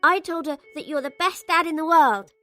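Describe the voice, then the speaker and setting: A woman's voice: high-pitched, normal speed, a bit bright, soft background music. A woman playing a trusting, chatty little girl with a childlike, earnest manner in an audio drama.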